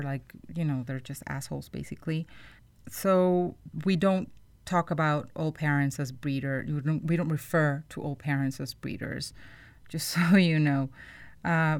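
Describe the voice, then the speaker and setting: A woman's voice: low voice, no room sound. A woman hosting a podcast, explaining things to listeners in an informal, conversational way. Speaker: podcast host.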